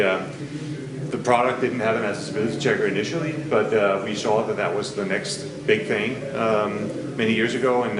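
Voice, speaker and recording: A man from Denmark with a Danish accent presenting at a conference, hesitant with many fillers. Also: on the low side. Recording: distant mic in an echoey hall, crowd murmur.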